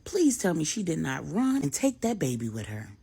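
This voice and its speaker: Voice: medium pitch, huge pitch swings, moderate pace, creaky, mellow, slightly rough, no echo, exaggerated voice. A storyteller voicing an anxious, pious grandmother, fretful and exclaiming, full of motherly endearments. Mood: anxious, shocked.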